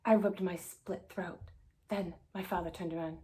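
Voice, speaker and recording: A woman with a fairly low voice reads a story aloud. Her delivery is expressive, in the manner of a storybook read-aloud for children. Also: moderate pace. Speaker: guest story reader.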